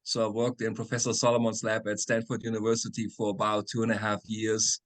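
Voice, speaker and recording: A man's voice: lowish voice, completely flat delivery, brisk. A middle-aged man, a chemistry professor answering interview questions on a video call, explaining patiently with many "uh"s and tag questions. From Germany. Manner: explanatory, frequent "right?" and "uh".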